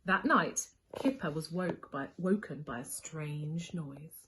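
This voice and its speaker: A woman's voice: deep voice, takes it slowly, fairly bright tone, slight background noise, poor audio. A woman reading a children's story expressively as a school storyteller, leaning on key words for young listeners.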